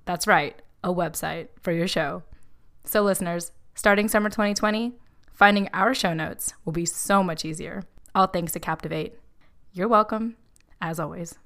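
A woman's voice: on the low side, normal speed, a bit bright. A woman hosting a podcast, chatty and upbeat as she interviews a guest and reads an ad. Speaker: podcast host. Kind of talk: podcast interview and host-read ad.

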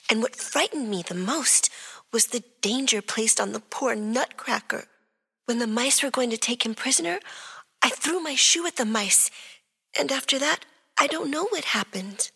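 A woman's voice: sits high, pushed and bright, breathy edge, full character voice. A woman voicing a young sick girl in a drama, speaking earnestly and pleadingly.

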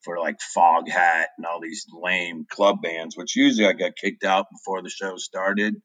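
A man in his forties talks in a very low, deep voice, recorded dry and close. He rambles through his story as an interview guest, filling gaps with ums. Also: flat delivery, moderate pace, fairly bright tone, no room sound. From New Hampshire. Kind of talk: casual interview chat.

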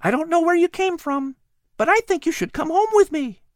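A woman's voice: extremely high pitch, animated, moderate pace, no echo, studio quality, voice pushed up. A woman voicing a cheerful, playful seven-year-old boy in a storybook. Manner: eager and playful. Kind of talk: storybook reading.

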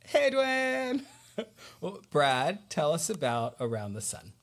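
A man's voice: on the high side, huge pitch swings. A man hosting a podcast in casual interview chat, enthusiastic and informal. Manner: enthusiastic, informal, quick to laugh. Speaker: podcast host.

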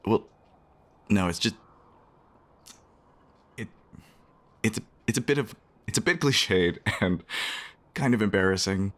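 Bashful, self-conscious guy played by a man for a radio romance: deep, unhurried, hesitant. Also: lively intonation, a bit bright. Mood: flustered, exasperated. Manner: hesitant, halting, with sheepish chuckles. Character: shy, awkward, self-conscious man on a winter evening. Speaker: voice actor in a romance audio play. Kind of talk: naturalistic radio play dialogue.

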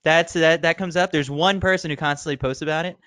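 A man speaking as a guest on a running podcast, relaxed and rambling, with many fillers and restarts. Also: on the high side, a little fast, smooth, no room sound, studio quality. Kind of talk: casual podcast chat.

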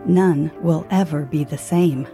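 A woman podcast host reading scripted trailer narration in a measured, earnest way. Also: low voice, warm tone, soft background music. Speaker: podcast host and college professor.

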